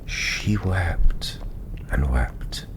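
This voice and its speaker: A man softly telling a fantasy story in ASMR style, breathy and often near a whisper, gentle and unhurried with long pauses. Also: low voice, animated, background noise.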